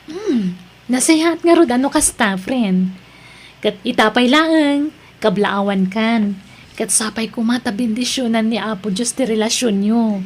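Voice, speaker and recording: A woman's voice: a little high, measured pace. A woman playing a caring, devout, chatty friend in an Ilocano drama, with an Ilocano accent. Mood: caring, inquisitive. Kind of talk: Ilocano radio-style drama acting.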